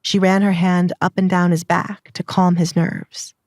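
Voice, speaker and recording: A woman's voice: on the low side, little pitch movement, measured pace, darkish tone, dry recording, studio quality. A woman narrator reading a scripted dramatized story.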